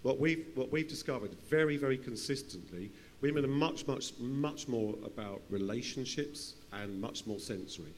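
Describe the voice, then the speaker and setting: A man's voice: medium pitch, animated, normal speed, few pauses. A man speaking off the cuff on a conference panel, often repeating and restarting his phrases. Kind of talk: unscripted panel discussion. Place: conference session in a large room. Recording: hall reverb with faint hum and noise.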